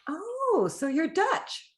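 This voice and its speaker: A woman's voice: very high-pitched, very animated, very slow. A woman with a Canadian accent, speaking as the host of a podcast interview.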